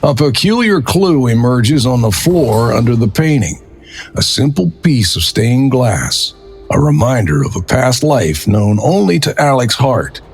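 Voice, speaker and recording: A man's voice: medium pitch, sing-song, a little slow, a little mellow, slightly rough, faint music behind. A man narrating a crime drama from a script in a dramatic storytelling style.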